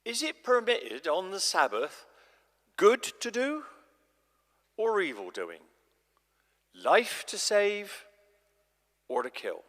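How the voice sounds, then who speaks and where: A man playing an authoritative male religious teacher in a live dramatic scripture reading, declaiming in measured, emphatic phrases. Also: sits high, wildly swooping pitch, a bit bright, exaggerated voice. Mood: challenging. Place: large church, live performance. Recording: echoey church, long reverb.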